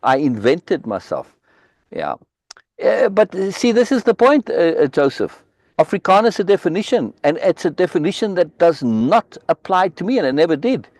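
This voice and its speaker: A man hosts a live reading discussion over a video call, pressing his points with insistent repetition. His voice sits fairly high and rises and falls widely. The sound is dry and close, with no room echo. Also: very animated, normal speed, a little mellow. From South Africa. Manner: emphatic, insistent, repeats key words. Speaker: host leading a book reading discussion.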